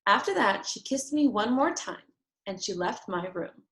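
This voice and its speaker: A woman's voice: mid-range voice, sing-song, measured pace, fairly bright tone. A woman teacher reading aloud to young children, explaining things in simple phrases. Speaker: teacher reading a chapter book aloud to pupils. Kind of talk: read-aloud storytelling for children.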